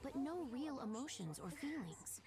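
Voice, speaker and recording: A woman's voice: high-pitched, big pitch swings, normal speed. A woman playing a composed, analytical superhero in a video game, speaking in a cool, probing manner. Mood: composed, wary. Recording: music behind the game voice.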